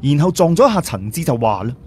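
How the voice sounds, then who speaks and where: Male audiobook narrator, dramatic and animated delivery, faint background noise. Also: on the high side, quick pace. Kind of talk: dramatic scripted story narration.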